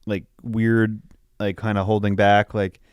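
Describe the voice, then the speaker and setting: Lower-pitched man in casual discussion. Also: little pitch movement, a little slow, clean tone, no room sound, clean studio sound. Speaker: podcast co-host reviewing a film. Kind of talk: casual chat.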